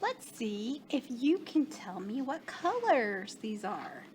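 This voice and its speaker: Slow sing-song woman teaching small kids. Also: a little high, very animated, darkish tone, slight background noise. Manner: slow, sing-song, encouraging, with gasps of surprise. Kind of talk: children's lesson.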